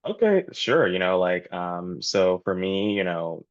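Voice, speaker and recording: A man's voice: deep voice, sing-song, measured pace, dry recording. A young man from Jamaica with no accent, giving an earnest, casual webinar talk full of "you know" and "like". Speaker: guest speaker, entrepreneur. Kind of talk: webinar presentation. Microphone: video call. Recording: dull, lo-fi, dry call audio.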